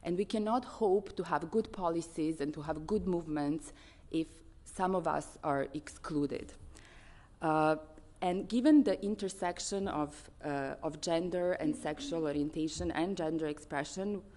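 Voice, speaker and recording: A woman with a Serbian accent and a low-pitched voice delivers a formal speech as an advocate at a conference. She speaks earnestly and haltingly, stressing the items in her lists with care. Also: warm tone.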